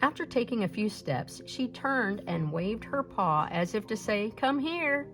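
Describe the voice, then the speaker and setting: A woman reader puts on a young lady from a folktale storybook. She is graceful, courteous and charmed, with a lifted pitch and very lively melody. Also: measured pace, music in the background.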